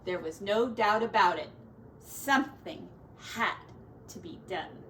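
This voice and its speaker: A woman's voice: medium pitch, animated, slow pace, a bit bright. A woman teacher reading a children's story aloud expressively, stretching words and adding folksy, chatty asides for young listeners. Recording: distant mic with faint background noise.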